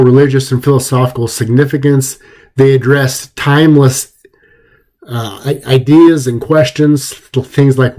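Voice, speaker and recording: A man giving an informal introductory lecture in a conversational, somewhat halting way. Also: mid-range voice, darkish tone.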